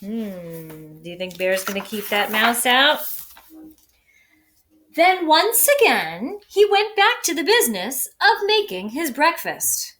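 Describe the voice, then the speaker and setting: A woman reads a children's storybook aloud at a slow pace, with a bright, rather high voice. She leans on words dramatically and talks directly to young listeners. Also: big pitch swings, slight background noise. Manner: addresses young listeners, dramatic word emphasis. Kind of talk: expressive children's read-aloud.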